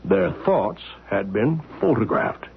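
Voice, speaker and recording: A calm, mysterious explainer. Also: male voice, medium pitch, vocal fry, slight background noise, exaggerated voice. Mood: measured. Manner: measured, patient explaining. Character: calm man named Matt, patient, mysterious. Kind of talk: 1970s radio drama acting. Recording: lo-fi broadcast sound, phone-like.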